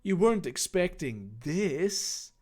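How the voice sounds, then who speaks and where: A man's voice: sits high, big pitch swings, slow, voice pushed up, heavily acted. A man voicing a sultry, taunting female ghost monster who gloats in a story reading. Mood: smug.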